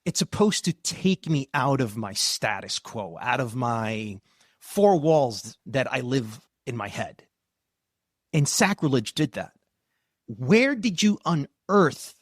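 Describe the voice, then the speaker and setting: A man's voice: medium pitch, no room sound, studio quality. A man hosting a podcast, asking questions in a casual, candid way and swearing freely. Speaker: podcast host. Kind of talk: casual interview chat.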